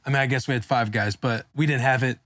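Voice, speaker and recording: A man's voice: medium pitch, fairly even intonation, fast, fairly bright tone. An American man from Missouri co-hosting a podcast in casual chat. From Missouri, United States. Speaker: podcast co-host.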